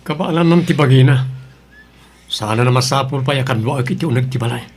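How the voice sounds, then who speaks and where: A man's voice: mid-range voice, brisk, husky. A man with a Filipino accent playing a troubled, stubborn husband in an Ilocano radio drama. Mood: defensive, stubborn. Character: troubled, stubborn young husband, fallen out of love.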